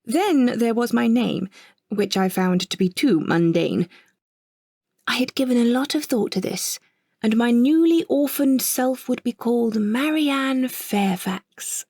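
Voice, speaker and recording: A woman performing an audio drama monologue as an older woman wryly recalling her childhood, in a measured, precise, slightly husky voice with deliberate pauses. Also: a little high, animated, a little mellow, dry recording, clean studio sound. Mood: wry, reflective. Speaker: monologue narrator. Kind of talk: scripted first-person audio drama narration.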